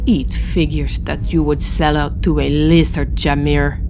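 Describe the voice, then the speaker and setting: A woman's voice: low-pitched, flat delivery, a little slow, over music. A woman playing a proud, defiant fleet admiral in a space audio drama, stern and scornful. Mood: cold fury.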